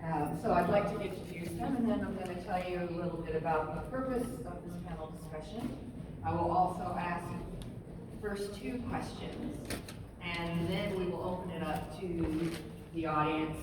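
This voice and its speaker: A woman moderates a live panel in a big, heavily echoing space. Her voice sits on the lower side and comes from some distance off the mic, with loud noise around her. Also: moderate pace. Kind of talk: introducing a live panel discussion. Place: large echoing room with an audience.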